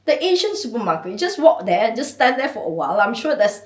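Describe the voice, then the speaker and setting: A woman from Malaysia with a Malaysian accent, chatting as a guest in an interview, animated and often laughing. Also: a little high, fast talker. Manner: animated, laughing, chatty with many "you know"s. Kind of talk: casual interview. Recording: echoey room, mic at a distance.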